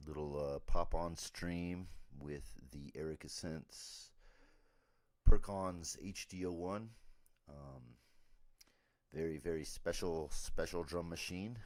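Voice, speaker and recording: A man with a raspy, worn throat from being ill, casually walking through gear on a live stream with lots of fillers. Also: deep voice. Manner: relaxed, rambling with many fillers.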